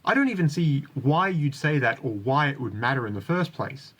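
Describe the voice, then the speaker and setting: Male video commentator, medium pitch, critical and articulate scripted delivery. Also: sing-song, moderate pace, fairly bright tone. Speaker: video creator.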